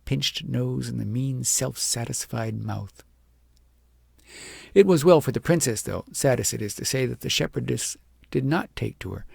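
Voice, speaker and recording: A man reading a children's story aloud as a scripted, expressive audiobook narrator. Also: mid-range voice, vocal fry, slightly rough, dry recording, clean studio sound.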